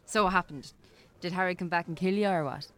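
An actress playing a curious, good-humoured Irish bookshop woman in a radio drama, conversational and gently playful. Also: on the low side, quick pace, natural voice. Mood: curious, amused. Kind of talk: naturalistic radio drama acting.

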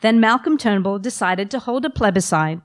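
A woman's voice: mid-range voice, animated, normal speed. A woman, who has the flu, telling a personal story with wry humor to a live audience. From Australia. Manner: wry, self-deprecating humor.

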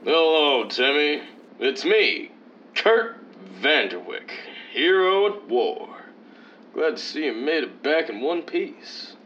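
An actor playing a bold, boastful wartime hero in a 1940s radio serial, with a grandiose, reassuring delivery. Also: male voice, a little high, big pitch swings, slow, a bit bright, heavily acted. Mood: hearty, proud. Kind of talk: 1940s old-time radio serial acting. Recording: muffled, noisy old-time radio recording.

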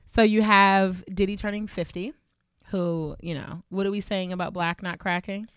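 A woman hosting a podcast in casual chat, animated, slangy and swearing freely. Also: mid-range voice, moderate pace, clear, resonant tone, no echo, studio quality. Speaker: podcast host.

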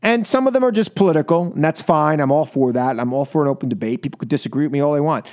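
Emphatic higher-pitched American male talk host. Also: fast, a little mellow. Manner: emphatic, exasperated, repeats phrases for stress. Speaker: talk radio show host. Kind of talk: impassioned political monologue. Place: radio studio.

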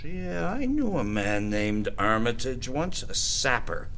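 An actor playing a confused, rambling war veteran of ninety-one in an audio drama. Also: male voice, medium pitch, sing-song, takes it slowly, fairly bright tone, slight background noise, exaggerated voice. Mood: matter-of-fact. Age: 90s. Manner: rambling, drifting, gravelly.

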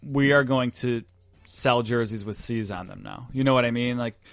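A man's voice: mid-range voice, moderate pace, gravelly, clean tone, no room sound, faint music behind. A man with a North American accent chatting casually about sports as a podcast co-host, thinking aloud and hedging his points in a conversational way.

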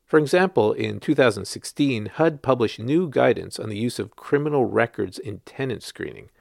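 A man's voice: mid-range voice, lively intonation, normal speed, vocal fry, darkish tone, no echo, clean studio sound. A man working as a journalist, reading his written article aloud as scripted narration.

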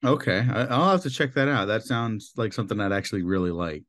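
A man hosting a podcast in casual chat, his voice a little raspy, rambling with lots of filler words. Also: fairly low pitch, expressive, fast, fairly bright tone. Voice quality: slightly raspy voice. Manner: chatty, loose, with many filler "like"s. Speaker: podcast host.

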